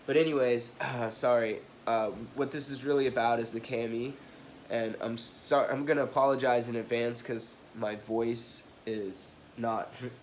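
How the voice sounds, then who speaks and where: A man's voice: medium pitch, fairly even intonation, normal speed, a little hiss or hum. A man with a stuffed-up nose from allergies or a cold, rambling apologetically through a casual product review with many fillers and restarts. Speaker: knife review vlogger.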